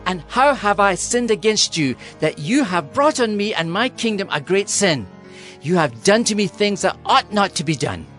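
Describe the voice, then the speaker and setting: A man's voice: high voice, very animated, normal speed, a bit bright, soft background music. A man playing an aggrieved king, impassioned and pleading, in a dramatized scripture reading. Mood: indignant. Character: Abimelech, aggrieved king protesting his innocence.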